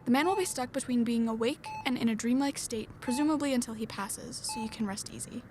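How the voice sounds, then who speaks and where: Woman acting as a calm hospital medic, noisy ambience. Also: a little high, fairly quick, lightly acted. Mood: calm, reassuring. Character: matter-of-fact female hospital nurse or doctor. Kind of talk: audio drama acting.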